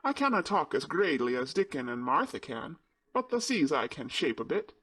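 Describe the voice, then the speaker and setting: A man's voice: fairly high pitch, sing-song, fairly bright tone, husky, heavily acted. A man voicing a young girl who proudly puts on a broad Yorkshire dialect. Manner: slow, proud, deliberately broad.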